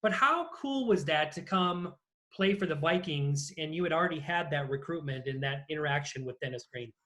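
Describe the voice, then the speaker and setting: A man's voice: on the high side, lively intonation, moderate pace, bright, forceful tone. A man hosting a casual interview over a video call, putting questions to his guest. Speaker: interview host.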